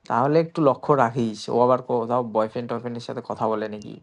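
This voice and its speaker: A young adult man talks casually, as if to a friend on a call. His pitch is middling, and he tends to hesitate and ramble as he goes. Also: little pitch movement, rapid-fire, vocal fry. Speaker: prank caller. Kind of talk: casual phone chat.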